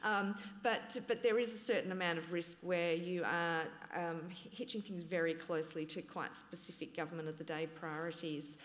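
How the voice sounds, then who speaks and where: Woman, low voice, reverberant hall. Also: moderate pace, hardly any pauses. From Australia. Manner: relaxed, hedging with "I guess" and "um". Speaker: conference presenter. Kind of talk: conference talk.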